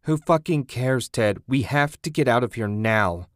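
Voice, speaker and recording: A man's voice: medium pitch, big pitch swings, normal speed, no room sound, clean studio sound, pitched above the performer's own voice, close to an everyday voice. A man voicing a terrified, angry woman in a horror audiobook, frantic and exclaiming. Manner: shouting, moaning, frantic. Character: terrified, angry woman stranded in wrecked car.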